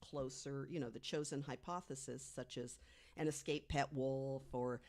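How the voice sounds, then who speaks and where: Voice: medium pitch, fairly even intonation, normal speed, a little mellow. An American podcast co-host telling a researched story in a conversational way, partly from prepared notes.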